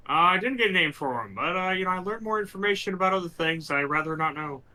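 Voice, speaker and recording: A man bantering casually as a tabletop game player, halting as he searches for words. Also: high-pitched, animated, sharp tone.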